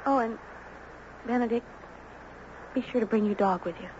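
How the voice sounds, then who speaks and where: Mysterious island girl for radio drama played by a woman, gentle, high voice, noisy muffled tape. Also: normal speed, close to an everyday voice. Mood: inviting. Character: gentle, mysterious young girl with strange ways. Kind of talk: 1970s radio drama acting.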